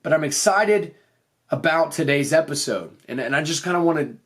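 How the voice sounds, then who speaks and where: Male podcast host, somewhat high voice, slightly worn from recent voice loss, delivering earnest motivational explanation. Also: expressive, moderate pace, a bit bright. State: voice slightly off after losing it.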